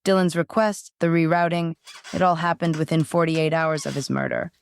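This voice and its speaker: A woman playing a sardonic, excitable young fan turned amateur sleuth in an audio drama. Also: deep voice, moderate pace, smooth. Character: hyped, snarky young female fan sleuth.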